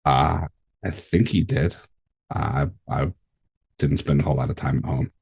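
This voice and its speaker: Very deep, gravelly man running a game. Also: normal speed, darkish tone. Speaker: game master. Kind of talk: narrating a tabletop game.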